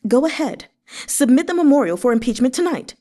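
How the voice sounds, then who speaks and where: A woman's voice: high voice, expressive, a little fast, warm tone, voice pushed up. A woman voicing a menacing male vice commander of the guard, curt and commanding, in a story reading. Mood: curt defiance.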